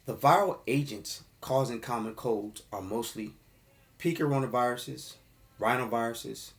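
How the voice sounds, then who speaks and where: A man's voice: medium pitch, unhurried, a bit bright. A man giving an informal explainer talk about an herbal formula, often checking in with the listener by asking "okay?" and calling the audience fam. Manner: casual, addresses listeners as fam.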